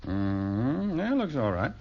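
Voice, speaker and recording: Genial American sitcom dad, middle-aged, mock-formal and patient, very animated delivery, muffled 1950s radio sound. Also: male voice, mid-range voice, takes it slowly, heavy background noise. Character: genial middle-aged father playing banker to his children.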